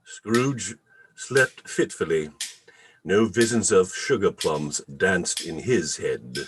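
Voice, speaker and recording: A man narrating a radio play in a theatrical, deliberate storybook style. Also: deep voice, animated, over music, poor audio. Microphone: video call.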